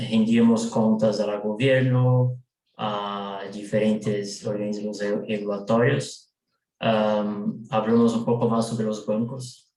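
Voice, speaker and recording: Slow, flat-voiced Brazilian man. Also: on the low side, echoey room, rough recording. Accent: Brazilian Portuguese. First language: Portuguese. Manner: hesitant, many drawn-out fillers. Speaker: participant reporting for a working group. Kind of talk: group report in a video meeting.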